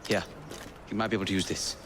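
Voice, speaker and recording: A male actor playing a brisk, guarded, well-connected shopkeeper informant in a video game cutscene. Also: low-pitched, steady tone, close to an everyday voice. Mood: brisk instruction. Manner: formal, businesslike. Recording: clear street and vehicle noise.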